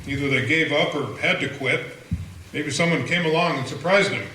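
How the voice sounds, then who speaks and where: An actor playing a worried townsman in a radio play, reporting a break-in in a hurried, low-key way. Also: pushed and bright. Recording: distant, echoey, faint noise.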